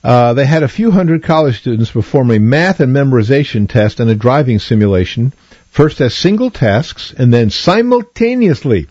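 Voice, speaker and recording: Chatty man with a husky dark voice. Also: medium pitch, lively intonation, normal speed, dry recording, dull audio. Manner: chatty, digressive, many fillers and restarts. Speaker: radio host. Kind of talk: casual commentary on news items.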